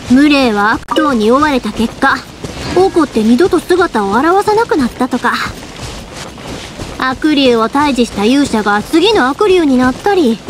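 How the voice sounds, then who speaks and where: An actress playing a cheerful young fireworks-shop owner with a Kansai accent, lively and chatty. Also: female voice, high-pitched, a little hiss or hum. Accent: Kansai Japanese. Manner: lively, chatty, warm teasing. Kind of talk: game voice acting. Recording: game audio with music behind.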